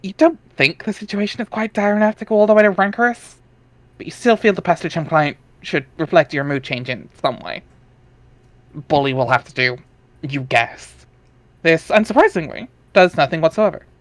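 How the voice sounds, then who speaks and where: A man with a slightly high voice narrates a webcomic in dramatic style. He is wry and informal, slipping into casual asides, and the sound is dry with no room echo. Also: moderate pace.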